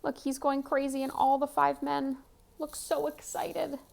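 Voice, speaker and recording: A woman's voice: high voice, flat delivery, measured pace, vocal fry. A woman reading a children's story aloud expressively, with chatty asides and questions to the young listener. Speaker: picture-book reader.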